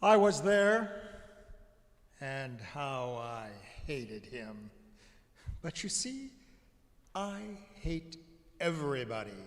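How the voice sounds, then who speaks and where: A man performs the spirit of Hate, bitter and scornful, as a theatrical monologue. The delivery is slow and deliberate, with a slightly raised pitch, ringing through a reverberant church. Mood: bitter, boastful. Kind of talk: dramatic church reading, monologue. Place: church sanctuary during a service.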